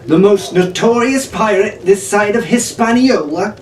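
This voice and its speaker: A man's voice: high voice, unhurried, slight background noise, pitched above the performer's own voice. A man reading a story, voicing a scolding young tavern maid girl, heard in an echoey room from a distance. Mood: exasperated. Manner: sharp, scolding.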